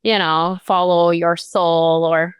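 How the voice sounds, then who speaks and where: A young woman from Colombia talks through her career as an interview guest, her voice smooth and clear. She chats easily, filling her talk with "like" and "you know". The sound is close and dry. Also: on the low side, level tone, slow, dry recording. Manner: chatty, many "like" and "you know" fillers. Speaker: guest, real estate agent. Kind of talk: casual interview chat.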